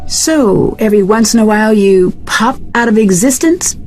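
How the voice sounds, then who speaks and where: An actress playing an inquisitive, composed young detective in a video game, probing and matter-of-fact. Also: female voice, medium pitch, lively intonation, normal speed, a little mellow, faint music behind, natural voice, low-quality recording.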